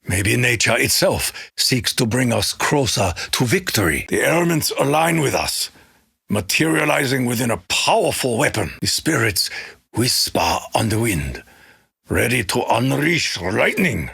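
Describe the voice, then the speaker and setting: An actor playing a solemn, honor-bound Japanese warrior in a video game, with a Japanese accent and a grave, formal, measured delivery in a gravelly, husky voice. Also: male voice, fairly low pitch, fairly bright tone, dry recording, clean studio sound, exaggerated voice. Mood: solemn, resolute. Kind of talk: scripted video game character quote lines.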